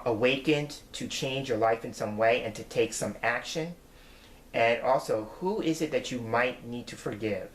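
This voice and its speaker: A man's voice: mid-range voice, a little hiss or hum. A man in his forties, a tarot reader, giving his weekly forecast in an earnest, reflective way as he explains each card. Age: 40s. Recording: roomy echo.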